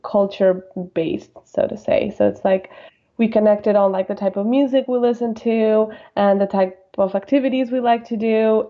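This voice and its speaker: A woman in her late twenties from Colombia with a Colombian accent, chatting informally as a podcast guest and telling stories in an animated way. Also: medium pitch, lo-fi sound. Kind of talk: casual interview chat.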